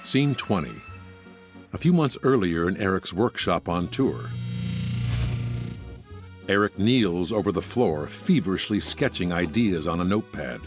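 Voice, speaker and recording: A man's voice: deep voice, animated, a little slow, long pauses. A man reading scripted stage directions as the narrator of a musical drama. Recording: music under the voice.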